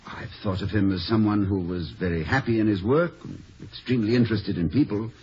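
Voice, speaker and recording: An actor playing an urbane middle-aged college president, wordy and dryly witty, in a 1950s radio comedy. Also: male voice, fairly low pitch, brisk, close to an everyday voice. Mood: earnest. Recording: dull, hissy 1950 radio recording.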